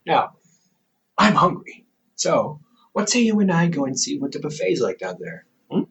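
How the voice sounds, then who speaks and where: A man playing a teasing, reassuring older brother, a scholar in his thirties, in an intimate, casual audio roleplay with halting, conversational delivery. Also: a little high, very animated, gravelly, a bit bright, dull audio, voice pushed up, heavily acted. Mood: affectionate, upbeat. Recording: hobby microphone with faint background noise.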